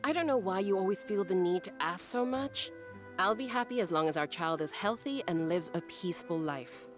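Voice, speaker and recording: A woman playing a gentle, contented young spouse in a video game. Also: fairly low pitch, expressive, moderate pace, soft background music, natural voice. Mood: gently chiding. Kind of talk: video game cutscene voice acting.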